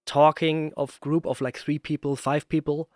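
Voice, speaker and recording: A man's voice: on the high side, flat delivery, moderate pace, no echo, studio quality. A man hosting a podcast, chatting casually and searching for his words as he tells stories. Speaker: podcast host. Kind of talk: casual interview chat.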